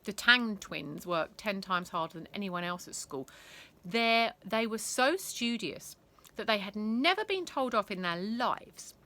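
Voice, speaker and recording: Animated, bright-voiced woman reading a children's story. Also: mid-range voice. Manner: theatrical, emphatic, with dramatic pauses and whispers.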